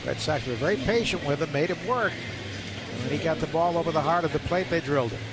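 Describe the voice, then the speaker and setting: A man with a fairly high voice calls a baseball game live on the radio. He works from a stadium booth, with clear outdoor ambience and noise around him. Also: quick pace. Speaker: baseball play-by-play announcer. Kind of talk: live radio play-by-play commentary.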